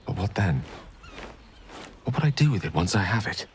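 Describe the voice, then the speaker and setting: A theatrical, warmly witty wizard from a video game, speaking. His voice sits fairly low and moves through wide, expressive swings. He phrases everything in a measured, eloquent way. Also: slight background noise. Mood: pondering. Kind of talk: video game cutscene acting.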